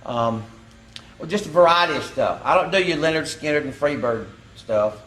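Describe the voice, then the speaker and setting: A man talks in a folksy, joking drawl. His voice sounds bright and forward. The recording carries a roomy echo and faint background hum. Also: on the high side, lively intonation, moderate pace. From Georgia, US. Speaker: pianist and singer. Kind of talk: reality show talk.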